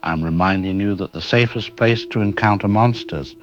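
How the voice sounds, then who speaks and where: Very deep, urbane macabre horror host, played by a man. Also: lo-fi sound, close to an everyday voice. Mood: ominous, sly. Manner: formal, dryly ironic, measured. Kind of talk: scripted horror anthology hosting.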